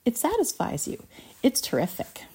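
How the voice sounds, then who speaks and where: Storybook candy inventor played by a woman: excitable boastful showman, pitched high, slightly husky, gushing. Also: moderate pace, full character voice. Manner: grandiose, gushing, emphatic.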